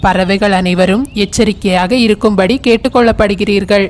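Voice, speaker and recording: A woman's voice: medium pitch, steady tone, brisk, voice pushed down, lightly acted. A woman playing a formal radio newsreader giving an announcement in a cartoon. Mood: grave.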